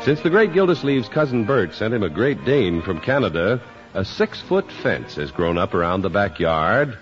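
A male radio announcer giving polished, booming scripted narration, on a dull 1950s broadcast recording. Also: very animated, moderate pace, soft background music.

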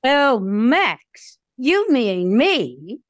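A woman's voice: fairly high pitch, very animated, drawn-out words, dry recording, studio quality, full character voice. A woman playing a gushing, flirty, glamorous female dog in an audio play.

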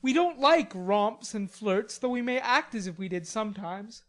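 A woman reading an audiobook as a chivalrous, teasing young college gentleman with a mock-superior air and old-fashioned gallant speech. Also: mid-range voice, animated, moderate pace, lightly acted. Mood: superior, exasperated. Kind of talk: audiobook character dialogue.

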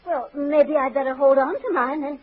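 A woman's voice: high voice, normal speed, natural voice. A woman playing a crafty swindler with a polite, hesitant, fluttery manner in a 1940s radio drama. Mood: feigned naive hesitance. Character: crafty female swindler posing as hesitant naive lady. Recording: muffled, noisy 1940s radio recording.

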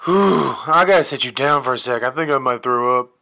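A man's voice: mid-range voice, fairly quick, vocal fry, noisy background, voice pushed up, lightly acted. A man voicing a weary, casual young everyman in an audio drama. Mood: queasy.

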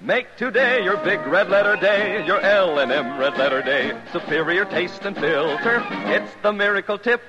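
A man's voice: sits high, animated, moderate pace, over music. An American man delivering scripted advertising copy in 1950s radio style. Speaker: commercial pitchman. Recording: dull old radio recording.

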